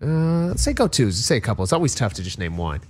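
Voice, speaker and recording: Fast-talking hype radio DJ man. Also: lowish voice, very animated, slightly rough. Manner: hype radio-DJ delivery with station shout-outs. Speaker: radio host and DJ. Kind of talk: upbeat radio interview hosting. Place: radio studio.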